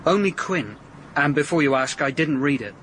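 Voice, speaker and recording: An actor playing a surly, touchy caretaker in a radio drama, curt, defensive and grudging. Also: male voice, a little high, lively intonation, moderate pace, fairly bright tone, slight background noise.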